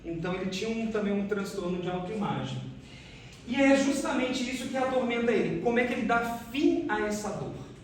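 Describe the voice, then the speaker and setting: A Brazilian man giving an academic presentation as a researcher, explaining in a halting way with restarted phrases. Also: sits high, lively intonation. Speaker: researcher presenting a case study. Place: reverberant room, recorded from a distance. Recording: very echoey room, distant mic, faint noise.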